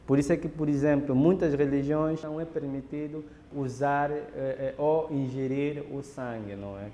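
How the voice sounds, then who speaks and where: A man's voice: fairly high pitch, steady tone, mellow, muffled recording. Angolan man explaining in an echoey room. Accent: Angolan Portuguese. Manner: measured, pauses with fillers and restarts. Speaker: interviewee explaining a ritual. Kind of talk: explanatory documentary interview. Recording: reverberant room, faint background noise.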